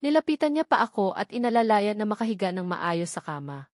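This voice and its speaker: A woman's voice: medium pitch, sing-song, fairly quick, a little mellow, dry recording, clean studio sound. A woman reading a first-person novel aloud as a story narrator.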